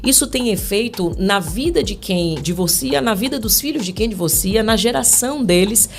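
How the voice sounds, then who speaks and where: A woman's voice: mid-range voice, animated, normal speed, creaky, slight background noise. A woman in her thirties from Brazil, a guest on a podcast, talking earnestly about marriage and faith, with restarts and fillers. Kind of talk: podcast interview.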